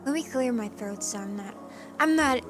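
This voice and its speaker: A woman streamer chatting casually with her live chat, with a hoarse voice and a playful cat persona. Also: on the high side, moderate pace. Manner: chatty and playful, often clearing her throat. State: hoarse from allergies. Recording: music playing in the background.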